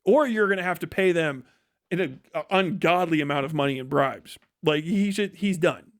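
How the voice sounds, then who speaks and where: High-voiced American man ranting bluntly. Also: moderate pace, no room sound, clean studio sound. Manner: profane, blunt, scornful, slangy. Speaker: livestream host and political commentator. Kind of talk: casual opinionated livestream rant.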